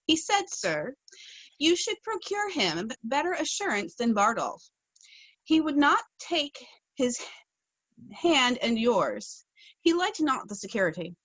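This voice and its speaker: Polite young page boy, woman reading, high breathy voice, dry close sound. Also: sing-song, slow pace, pauses often, a bit bright, no room sound, heavily acted, poor audio. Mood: dutiful. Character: young male page, dutiful servant. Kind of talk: live-streamed Shakespeare scene reading. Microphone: video call.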